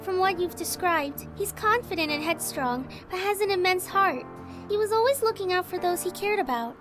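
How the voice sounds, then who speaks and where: A woman voicing a gentle, caring young woman in a visual novel game, with a sincere, consoling manner. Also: very high-pitched, animated, normal speed, music in the background. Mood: tender, earnest.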